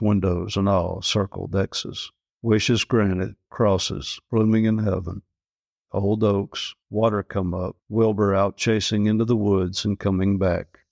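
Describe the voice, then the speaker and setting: A man's voice: fairly low pitch, creaky, a little mellow, no room sound. A man reading a literary travel story aloud as scripted narration.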